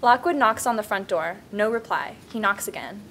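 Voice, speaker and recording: A woman's voice: mid-range voice, lively intonation, normal speed, fairly bright tone, a little hiss or hum. A woman reading out screenplay stage directions in a plain narrating style.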